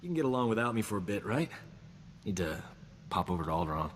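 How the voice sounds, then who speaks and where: Cocky wisecracking medic, game dialogue, long echo, faint hiss. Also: male voice, fairly low pitch, fast. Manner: smooth-talking, self-flattering, joking. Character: cocky boastful man, a frontier combat medic. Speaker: companion character in a video game. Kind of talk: video game character dialogue.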